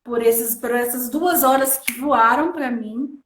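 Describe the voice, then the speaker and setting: A woman's voice: a little high, a little slow, fairly bright tone, a little hiss or hum. A woman from Brazil hosting a live stream, speaking in a casual conversational way and leaning on fillers as she talks. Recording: echoey room.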